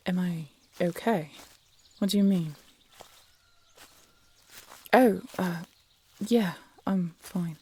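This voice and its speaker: A woman's voice: on the low side, extremely slow, pauses often, darkish tone, breathy edge, exaggerated voice. A woman playing a guarded, defiant peasant huntress in a fantasy audio drama, casual and sardonic. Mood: wry, guarded. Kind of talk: fantasy audio roleplay acting.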